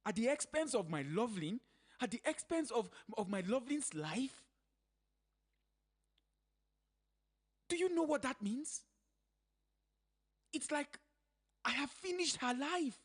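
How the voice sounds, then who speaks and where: Nigerian hustler, dramatically swooping voice. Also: female voice, sits high, sing-song, moderate pace, long pauses, slightly rough, heavily acted. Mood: shocked, indignant. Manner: theatrical storytelling with Pidgin asides. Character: guilt-torn Nigerian hustler confessing to betraying his lover. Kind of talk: dramatic radio drama monologue.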